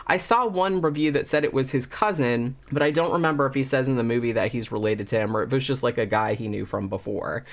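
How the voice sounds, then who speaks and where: A man's voice: medium pitch, quick pace, hardly any pauses. A man hosting a casual movie review, talking through a cold with a slightly congested voice in a rambling, chatty way full of fillers.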